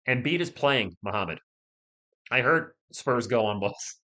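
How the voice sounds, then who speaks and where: A chatty man hosting a live stream from his home setup, talking straight into a laptop mic. His pitch bounces around a lot, and he bursts into loud shouts now and then. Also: animated, a little slow. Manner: chatty, talks to chat, loud bursts when testing. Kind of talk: casual live-stream chat. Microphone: laptop or desk mic.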